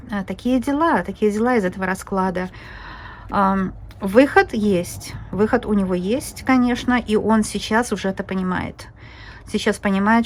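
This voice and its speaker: A woman's voice: medium pitch, slight background noise. A woman reading tarot cards to viewers in a rambling, chatty way, full of fillers and restarts. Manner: addresses viewers directly as friends and girls. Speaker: tarot reader. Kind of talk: casual tarot reading monologue.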